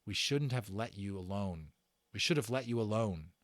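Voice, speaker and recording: A man's voice: on the low side, lively intonation, moderate pace, fairly bright tone, no echo, clean studio sound. Man reading as an earnest, regretful boy. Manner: plain, sincere, conversational phrasing. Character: earnest, regretful, gentle-natured young boy. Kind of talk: dramatic storybook character reading.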